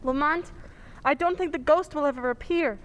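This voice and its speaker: A woman's voice: high-pitched, normal speed, over loud music, natural voice. A woman playing a timid, nervous lady companion to a sleuth in an old-time radio drama. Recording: faint hiss and room ambience.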